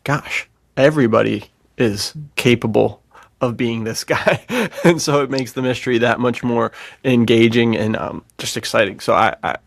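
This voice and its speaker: An excitable man chats casually as a podcast host, with slangy, buddy-like phrasing. His mid-pitched voice has a gravelly creak and is recorded close and dry. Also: moderate pace, no room sound. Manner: excitable bro-slang talk. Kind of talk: casual enthusiastic chat.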